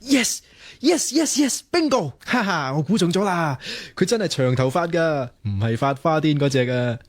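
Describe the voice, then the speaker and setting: A man's voice: on the high side, lively intonation, moderate pace, no echo, lightly acted. An actor with a Hong Kong Cantonese accent playing a lovesick, self-doubting young man in a radio drama, theatrical and playfully flowery. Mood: giddy, triumphant. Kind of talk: radio drama acting with comic inner monologue.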